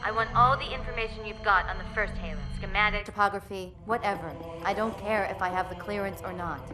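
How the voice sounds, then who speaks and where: Actress as game navy commander, brisk, authoritative, medium pitch, music underneath. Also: female voice, close to an everyday voice. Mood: resolute. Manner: authoritative, clipped. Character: brisk, resolute female human military commander. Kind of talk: animated game cutscene acting. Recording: music behind the voice.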